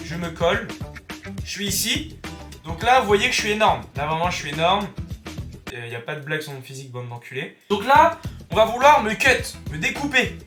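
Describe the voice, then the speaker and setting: A young man with a cold, talking viewers through a software tutorial in a chatty, joking way full of crude slang. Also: sits high. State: has a cold. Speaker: YouTuber teaching software. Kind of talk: casual screen-recorded tutorial. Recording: roomy room with background music.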